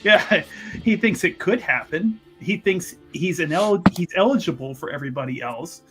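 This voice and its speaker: A man's voice: sits high, lively intonation, moderate pace, over music. A man playing a tabletop roleplaying game, rambling in self-assured, improvised banter and casual table talk.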